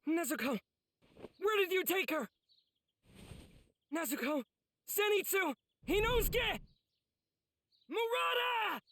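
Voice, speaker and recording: A man's voice: very high voice, lively intonation, slow, long pauses, sharp tone, a little hiss or hum, heavily acted. A man playing an earnest, devoted teenage boy swordsman in an anime game, heartfelt and pleading. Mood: frantic. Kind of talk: English anime game voice acting.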